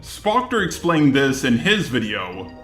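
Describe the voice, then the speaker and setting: A man's voice: mid-range voice, big pitch swings, measured pace, a bit bright, echoey room, faint music behind. A synthetic, robotic man's voice delivering an argumentative, scripted rebuttal as a commentary video host.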